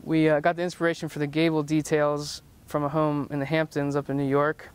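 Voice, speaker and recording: A man's voice: on the high side, little pitch movement, fairly quick, clear, resonant tone. A man in his thirties, a home designer, giving a semi-scripted tour to camera on a lapel mic, friendly and explanatory with a few fillers. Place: outdoors in front of a house, then indoors in rooms.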